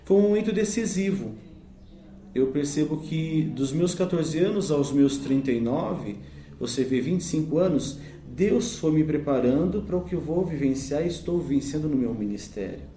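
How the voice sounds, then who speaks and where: A man's voice: fairly high pitch, warm tone, reverberant. A Brazilian man in his thirties, a deacon, giving an earnest, reflective personal faith testimony. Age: 30s.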